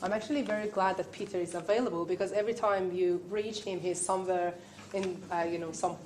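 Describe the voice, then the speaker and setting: A woman's voice: fairly low pitch, steady tone, fast talker, darkish tone, slight background noise, low-quality recording. A woman hosting the event, losing her voice, reading a formal introduction of the speaker with hesitant fillers and small slips.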